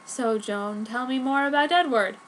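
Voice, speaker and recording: A woman's voice: high-pitched, normal speed, fairly bright tone. A woman voicing a grumpy, awkward middle-aged father with stilted speech in a story reading. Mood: awkward, approving. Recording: noticeable background noise.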